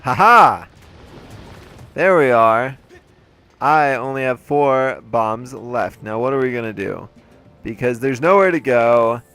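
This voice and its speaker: A man's voice: mid-range voice, lively intonation, takes it slowly, long pauses, a bit bright, faint music behind. A man giving playful, chatty commentary on a video game he is playing, with a slightly congested voice. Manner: playful, chatty, jokey asides. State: congested, throat a little off. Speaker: let's play host. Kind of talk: casual gameplay commentary.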